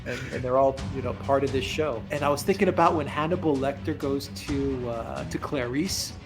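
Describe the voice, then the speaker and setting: A man of middle pitch chats loosely as a guest on a live stream. He repeats his words as he goes and laughs often between thoughts. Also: normal speed, music in the background. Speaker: guest commentator. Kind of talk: casual live-stream chat.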